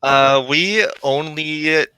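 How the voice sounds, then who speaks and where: A man's voice: a little high, extremely slow, bright, forceful tone, smooth, no room sound. A man in his twenties chatting casually as a guest game developer about his work. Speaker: visual novel developer, guest.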